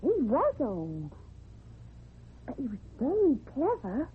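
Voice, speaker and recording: A very high, unhurried little girl's voice, innocent and matter-of-fact. She moves freely up and down in pitch, as in a radio horror drama. The recording is muffled and narrow, like old radio. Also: wildly swooping pitch, breathy edge, full character voice. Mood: matter-of-fact, wondering.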